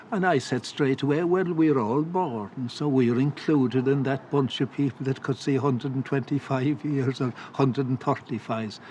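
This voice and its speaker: A man's voice: mid-range voice, darkish tone, a little hiss or hum. A man at a community centre, musing in an anecdotal way about living longer.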